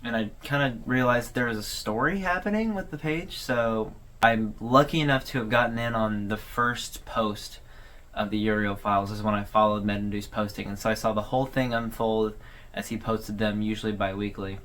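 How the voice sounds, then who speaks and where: A man's voice: lowish voice, steady tone, moderate pace, a bit bright. A man hosting a video, giving casual, conversational explanatory commentary as he retells a story. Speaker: video host recapping an online horror series.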